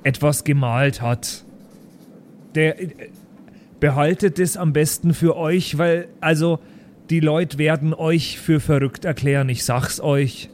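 A man's voice: on the high side, slow pace, a little mellow, slight background noise. A man playing an earnest, worn-out schoolteacher who rambles, in an improvised tabletop audio play. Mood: earnest, weary. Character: Thomas, frazzled, earnest male schoolteacher.

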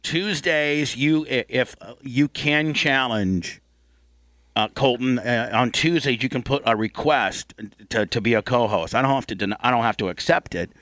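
A man's voice: mid-range voice, moderate pace, fairly bright tone, no echo. A man hosting a loose comedic radio show, rambling and bossy as he teases the people around him.

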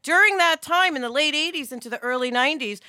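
A middle-aged woman co-hosting a podcast in an emphatic, expressive conversational style. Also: sits high, fast talker, dry recording, clean studio sound. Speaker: podcast co-host. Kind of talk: animated conversational discussion.

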